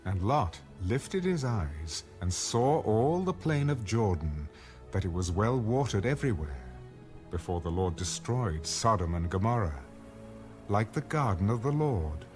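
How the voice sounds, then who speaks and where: Low voiced guy narrating bible text, really varied intonation, soft music behind. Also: sing-song, unhurried. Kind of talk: dramatized scripture narration.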